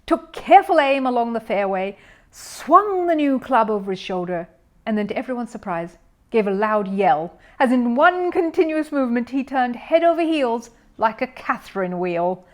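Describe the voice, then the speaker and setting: A woman narrator reading a children's story aloud in an expressive style. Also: fairly high pitch, moderate pace. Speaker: audiobook narrator.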